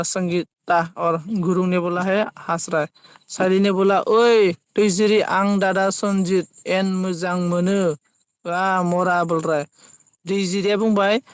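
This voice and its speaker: High-pitched man, halting livestream chatter. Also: smooth, dry recording. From Assam, India. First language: Bodo. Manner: halting, repeats phrases as he reads. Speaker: live streamer. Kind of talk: casual live chat, reading out comments.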